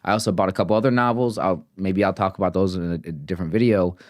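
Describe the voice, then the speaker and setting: A man's voice: low-pitched, fairly even intonation, fast, no echo, studio quality. Earnest rambling man in his late twenties. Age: 20s. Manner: rambling, earnest, many fillers and restarts. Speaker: vlogger. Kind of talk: casual reflective vlog talk.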